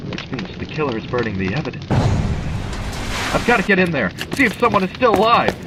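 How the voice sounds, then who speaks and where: Man playing an earnest, desperate, animated survivor. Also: on the high side, brisk, heavy background noise. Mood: alarmed, desperate. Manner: dramatic, thinking aloud to himself. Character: earnest, desperate man hunting a killer in a snowstorm. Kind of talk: audio drama acting.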